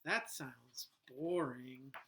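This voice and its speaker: A man, a teacher, reading a storybook aloud to children in an expressive, deliberate way, with asides and questions to his listeners. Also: mid-range voice, slight background noise.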